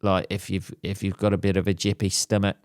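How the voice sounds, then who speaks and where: A man hosting his own podcast, rambling casually and crudely with lots of swearing. His voice is a little hoarse. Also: sits low, little pitch movement, fairly quick, darkish tone, dry recording, studio quality. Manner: crude, swears a lot, jokey. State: slightly hoarse. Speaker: podcast host. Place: at home.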